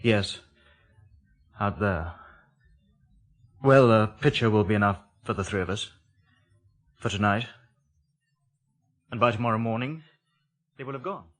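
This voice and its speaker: A man's voice: fairly low pitch, measured pace, long pauses, close to an everyday voice. An actor playing a besieged, desperate, educated man in a radio drama, brooding and parched. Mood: weary, low.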